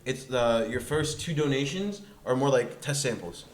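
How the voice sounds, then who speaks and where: A man's voice: medium pitch, lively intonation, moderate pace, echoey room. A man in his twenties telling a story in casual podcast chat, laid-back, with lots of fillers and laughs. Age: 20s. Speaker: podcast guest.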